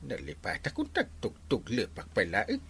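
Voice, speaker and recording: A woman playing an excitable, complaining village captain in a radio comedy drama, exclamatory and hesitating. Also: extremely low pitch, moderate pace, slightly rough, exaggerated voice. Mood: grumbling.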